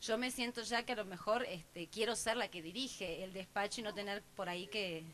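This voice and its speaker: A woman's voice: mid-range voice, normal speed, fairly bright tone, slight background noise. A woman, an assistant prosecutor, answering a panel in a formal job interview, explaining at length with many fillers.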